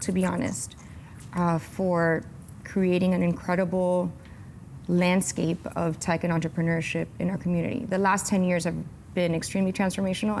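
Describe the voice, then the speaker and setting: A woman answering interview questions as an executive guest, upbeat, with measured phrasing. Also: fairly low pitch, a little mellow, slight background noise. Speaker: tech company executive and guest.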